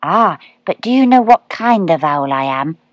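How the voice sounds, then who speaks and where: A woman's voice: fairly low pitch, animated, moderate pace, no echo, lo-fi sound, lightly acted. A woman doing Plop, an eager baby owl. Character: small eager baby barn owl boy. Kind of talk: storybook reading.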